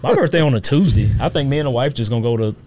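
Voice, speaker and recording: A man's voice: mid-range voice, expressive, rapid-fire, darkish tone, slight background noise. An American man chatting casually as a participant in a podcast, with other voices around him. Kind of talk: casual chat.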